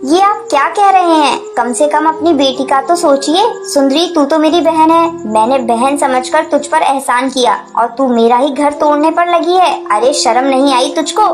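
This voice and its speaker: A woman voicing a gentle mother sparrow. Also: high voice, moderate pace, hardly any pauses, natural voice. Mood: hurt. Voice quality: earnest, emotional. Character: kind, simple mother sparrow, gentle and trusting. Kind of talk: dubbed cartoon acting. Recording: music playing behind.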